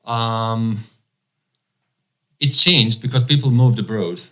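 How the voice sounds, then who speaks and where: A man in his thirties from Bosnia, a non-native English speaker whose first language is Croatian, talking haltingly as a podcast guest with many fillers. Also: medium pitch, pushed and bright. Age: 30s. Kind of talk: casual interview chat.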